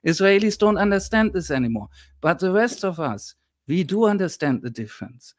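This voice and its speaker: A man's voice: sits high, sing-song, moderate pace, no echo, studio quality. A man with a German accent, speaking as a historian guest in a political discussion, emphatic and lecturing, at times indignant.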